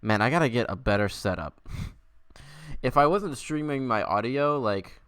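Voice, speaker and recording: A man's voice: mid-range voice, animated, moderate pace, fairly bright tone, clear, resonant tone, dry recording, clean studio sound. A man hosting a livestream with casual chat, rambling, self-amused and casually profane. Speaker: livestream host.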